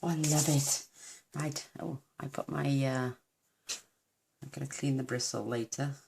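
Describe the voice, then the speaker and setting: A woman with a croaky voice, chatting warmly through a card-making demonstration and gushing over each step. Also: very deep voice, fairly even intonation, a little slow, background noise. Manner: gushing, talks to viewers while working.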